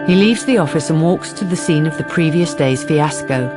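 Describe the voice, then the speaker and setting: A woman giving measured, scripted narration for a crime radio drama. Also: sits low, animated, over music.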